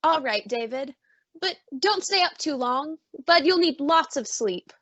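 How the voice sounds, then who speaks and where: A woman acting a doting, fussing mother in an old-time radio suspense play, with a gently chiding way of talking. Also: high-pitched, animated, a little slow, dry recording. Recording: slightly dull, muffled audio.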